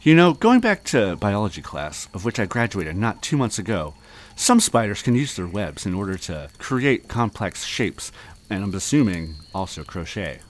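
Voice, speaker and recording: A man co-hosting a comedy podcast, improvising a silly horror story with deadpan running gags. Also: fairly low pitch, normal speed. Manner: deadpan, running gag delivery.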